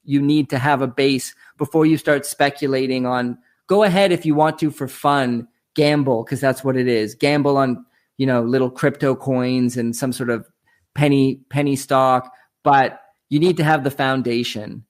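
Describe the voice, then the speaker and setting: A man's voice: mid-range voice, fairly even intonation, moderate pace. A man with a Canadian accent, talking casually and at length as an interview guest, explaining his points conversationally. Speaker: interview guest, online commodities show host.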